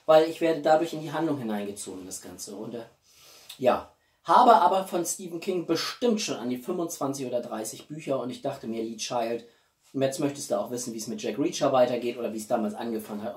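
A man's voice: medium pitch, expressive, fairly quick, a little mellow. Man vlogging in an echoey room. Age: 40s. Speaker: video blogger. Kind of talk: casual chatty vlog presenting gifts. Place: small living room, indoors. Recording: roomy echo, distant microphone.

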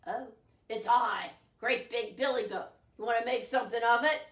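A woman voicing a great big male billy goat, low-voiced and confident. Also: steady tone, fairly bright tone. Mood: defiant. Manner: slow, low, sure of himself. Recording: roomy echo, distant mic.

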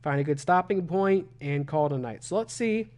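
Young guy w a cold, raspy phlegmy voice, kinda high, chatty streamer at his desk. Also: normal speed, a little mellow. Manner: chatty, self-deprecating. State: hoarse from a cold. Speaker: gaming streamer. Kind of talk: casual stream chat.

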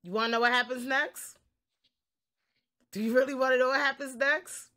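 A young woman telling a story casually on a livestream, expressive, slangy and sweary. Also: sits high, normal speed. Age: young adult. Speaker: game streamer.

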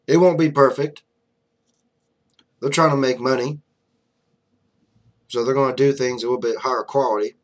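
A young man talks straight into his desk webcam from a small room, airing opinions in a loose, blunt way. He leans on fillers and asides, with a middling pitch and an everyday conversational flow. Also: fairly even intonation, normal speed, pauses often. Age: young adult. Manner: blunt, conversational, many fillers like "you know". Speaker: vlogger. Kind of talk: casual political commentary to camera. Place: small room indoors, flag on wall behind.

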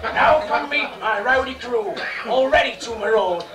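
A man's voice: extremely high pitch, normal speed, crowd noise. A man playing a lecherous, bossy sea captain in a bawdy amateur pantomime, blustering in rhyme. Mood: boastful. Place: small bar stage, distant camera. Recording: echoey noisy bar, far off, audience.

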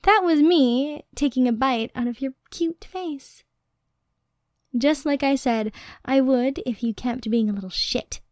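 A high-pitched, excitable young girlfriend in an audio roleplay acted by a woman. She is nerdy, playful and affectionate, speaking unhurriedly and close to a dry microphone. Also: measured pace, dry recording. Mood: teasing, affectionate. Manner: playful, expressive, teasing, nerdy rambling. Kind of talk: ASMR girlfriend roleplay, intimate acted monologue. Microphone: close, dry studio microphone.

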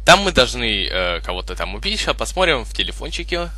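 A young man hosting a gaming video with casual, halting, improvised gameplay commentary. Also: mid-range voice, sing-song, bright, forceful tone, no room sound. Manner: hesitant, halting, improvised phrasing.

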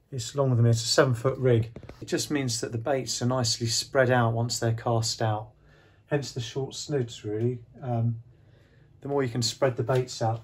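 A man, talking casually and instructively as a fishing vlogger. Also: mid-range voice. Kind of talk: casual instructional vlog talk. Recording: clear background ambient noise.

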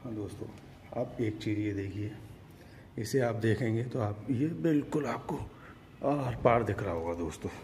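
A man's voice: on the low side, normal speed, a little mellow, slight background noise. A man talking casually and conversationally, explaining something hands-on as a vlogger filming on a phone at home. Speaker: motorcycle vlogger. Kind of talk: casual product comparison vlog. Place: at home by marble staircase.

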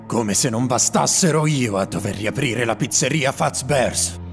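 A man's voice: medium pitch, unhurried, creaky, faint music behind. A man voicing a menacing, scheming boss in a parody cartoon, ominous and theatrical. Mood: dismayed.

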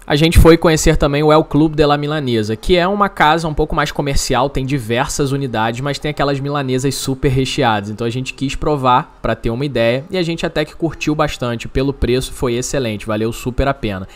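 A man's voice: mid-range voice, brisk, hardly any pauses, soft background music. A man narrating a travel vlog, giving casual, upbeat restaurant recommendations. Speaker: travel vlogger.

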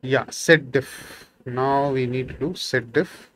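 A man's voice: medium pitch, steady tone, slow pace, fairly bright tone, a little hiss or hum. A man in his thirties whose first language is Tamil, giving a casual screen-share coding tutorial from a small room and thinking aloud with many fillers. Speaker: programming tutor.

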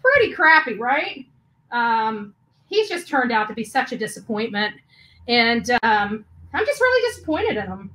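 A woman in her forties giving a halting, indignant personal vlog rant, repeating words for emphasis, recorded indoors in a small room. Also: a little high, very animated, sharp tone, low-quality recording. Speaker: YouTuber. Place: small hotel or bedroom, indoors.

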